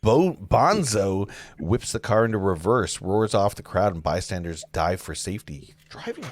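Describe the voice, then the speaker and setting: A man's voice: fairly low pitch, expressive, normal speed. A man hosting a table read, reading stage directions aloud in an informal, amused way with casual asides. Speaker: host and stage-direction reader.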